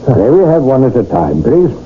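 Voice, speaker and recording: A man's voice: mid-range voice, big pitch swings, slight background noise, natural voice. An actor playing a stern, middle-aged lawman in a 1970s radio drama, formal and official in manner. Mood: brisk, official. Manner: formal, measured, dry officialese. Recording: tinny old radio broadcast with music.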